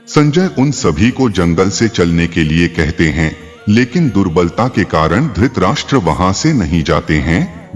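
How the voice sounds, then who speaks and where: A man narrating scripted mythological tales in a formal, dramatic storytelling style. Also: low voice. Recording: long reverb, faint music behind. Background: faint background music.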